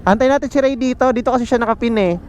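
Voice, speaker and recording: A man's voice: very high-pitched, very fast, darkish tone. A Filipino man chatting casually as a motovlogger over a helmet intercom. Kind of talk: casual chat. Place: outdoors, riding a motorcycle. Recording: very noisy motorcycle intercom, road noise.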